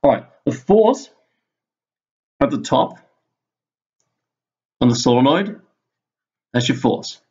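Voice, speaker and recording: A man teaching an informal screen-recorded lesson, explaining step by step in a halting way and thinking aloud. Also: medium pitch, expressive, darkish tone, highs cut off. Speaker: physics teacher. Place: indoors, screen-recorded voice-over at a computer.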